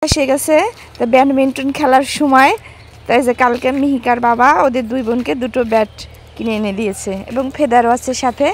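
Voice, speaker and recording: A woman's voice: high voice, fairly even intonation, fairly quick. A young woman, a mother chatting casually with small children and narrating a family vlog, coaxing them with simple questions and short repeated phrases. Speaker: mother filming her young daughters.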